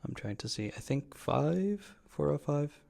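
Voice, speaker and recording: A man's voice: on the high side, sing-song, a little slow, creaky, a little mellow. A man giving casual, informal voice-over commentary on a card game he is playing, explaining moves in slangy language. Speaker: card game player commentating his own match.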